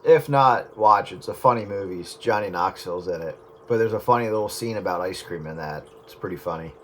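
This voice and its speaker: A man's voice: deep voice, a little fast. A man chatting casually and jokingly over two-way radio, rambling along as a hobby operator. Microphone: radio transceiver microphone. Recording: very noisy two-way radio transmission.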